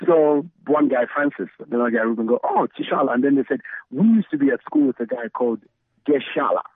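A man's voice: medium pitch, expressive, fast, husky, no echo. Middle-aged man on a phone-like line. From Zambia, the Copperbelt. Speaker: guest, radio DJ and station founder. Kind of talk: conversational interview storytelling. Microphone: remote call connection.

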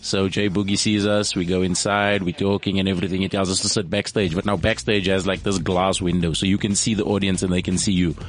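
A man's voice: sits low, level tone, a little fast, few pauses, a little hiss or hum. A young man from South Africa telling a rambling comic anecdote on a podcast, often repeating words as he goes. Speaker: comedian guest. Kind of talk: casual storytelling.

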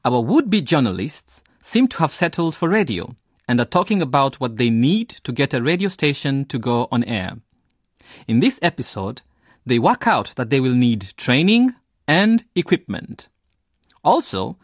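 A man's voice: mid-range voice, very animated, moderate pace, husky, no echo, clean studio sound. A man reading a scripted recap as the narrator of a radio drama series.

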